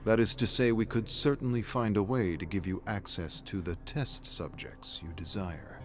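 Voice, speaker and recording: A man's voice: lowish voice, fairly even intonation, music in the background, natural voice. Man voicing a formal, secretive company officer. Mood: persuasive. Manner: measured, polite, hesitant phrasing.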